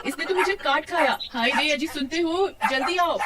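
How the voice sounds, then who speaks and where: A woman's voice: high voice, moderate pace, far from the mic, natural voice. A woman playing a doting, emotional farmer's wife in a cartoon, with a plaintive, exclaiming way of talking. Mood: pained, alarmed. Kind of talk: melodramatic Hindi cartoon dubbing.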